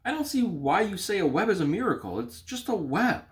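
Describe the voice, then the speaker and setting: A man's voice: high voice, sing-song, a little fast, pitched above the performer's own voice. A man voicing a worried, puzzled mother of a young girl in a storybook, fretful and questioning.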